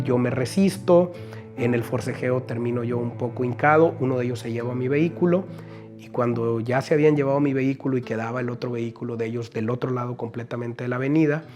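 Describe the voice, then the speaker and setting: A man with a Mexican accent giving unscripted interview testimony in a measured, explanatory way, often checking that his point has landed. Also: mid-range voice. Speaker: news organization director giving testimony.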